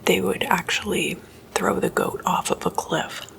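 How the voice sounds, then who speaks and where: A woman giving a whispered ASMR talk, explaining stories in a hushed, unhurried and informal way. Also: fairly low pitch, animated, gravelly. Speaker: host of a spooky ASMR series.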